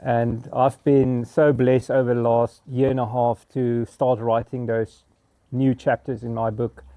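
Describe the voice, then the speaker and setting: A South African man in his fifties with an Afrikaans accent, speaking in an earnest, halting personal monologue with frequent pauses. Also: mid-range voice, level tone, mellow, dry recording. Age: 50s. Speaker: vlogger.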